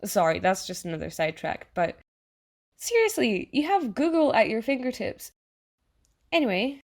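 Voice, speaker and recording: A woman's voice: on the high side, darkish tone, full character voice. Chummy, coaxing AI in your head played by a woman. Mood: breezy, teasing. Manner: chummy, casual, coaxing, passive-aggressive. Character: chatty, falsely friendly AI living in someone's mind, quietly menacing. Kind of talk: audio theatre monologue addressed to the listener.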